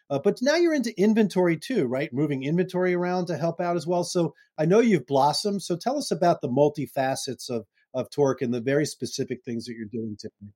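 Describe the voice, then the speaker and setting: A man's voice: mid-range voice, mellow, dry recording, clean studio sound. A middle-aged man hosting an interview in loose, casual chat, often restarting his sentences and laughing briefly. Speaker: podcast host.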